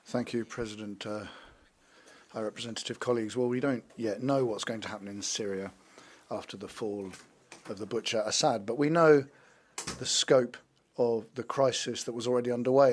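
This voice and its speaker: A man's voice: medium pitch, a little fast, slightly rough. Man giving a formal interpreted speech. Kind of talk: simultaneous interpretation of a parliamentary speech.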